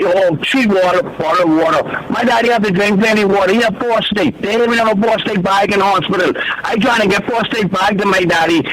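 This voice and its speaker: A man's voice: sits high, low-quality recording. A man with a Bahamian accent calling a radio phone-in, complaining informally in an emphatic, repetitive way.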